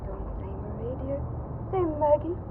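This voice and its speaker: High, rapturous radio-drama young wife, acted by a woman. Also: animated, moderate pace, natural voice. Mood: rapturous, tender. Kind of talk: vintage 1940s radio drama acting. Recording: tinny, noisy vintage radio through car speakers. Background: car ambience.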